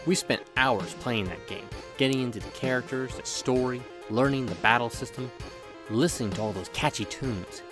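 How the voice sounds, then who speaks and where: A man's voice: medium pitch, normal speed. Guy doing a jokey game review voiceover, playful and hammy, music going behind him. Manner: exaggerated, playful delivery with mock outbursts. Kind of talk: scripted comedic review narration. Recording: background music under the voice.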